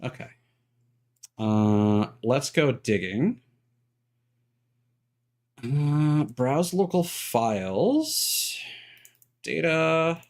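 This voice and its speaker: A man's voice: on the high side, very animated, drawn-out words, pauses often. A man doing casual, rambling live stream commentary on a game, thinking aloud in hesitant fragments.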